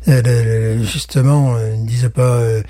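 A man, a teacher and guest on a podcast, chatting casually, animated, with many restarts and acted-out little scenes. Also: mid-range voice, moderate pace. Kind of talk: casual chat.

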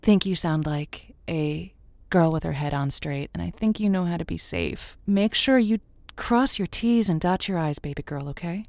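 A woman's voice: fairly low pitch, moderate pace, a little mellow, dry recording, close to an everyday voice. An actress playing an effusive, coaxing female radio host who gives chatty, encouraging romance advice in an audio drama. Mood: earnest, encouraging. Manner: effusive, coaxing, pet names for listeners. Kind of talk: semi-improvised audio drama, late-night call-in radio host.

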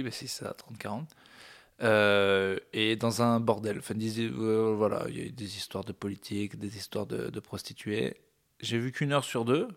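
A man's voice: on the low side, creaky. Rambling, ironic male podcaster. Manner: rambling, ironic, jokey asides. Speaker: podcast host. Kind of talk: solo casual podcast chat.

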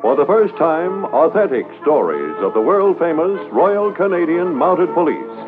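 A man's voice: on the high side, very animated, measured pace, over music. A man announcing a 1950s radio drama in a declamatory, dramatic scripted style. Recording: narrow-band 1950s radio transcription.

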